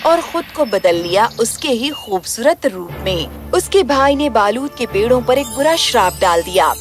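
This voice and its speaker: A woman narrates a children's fairy tale from a script. Her voice is fairly high, and music plays clearly behind her. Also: lively intonation, moderate pace.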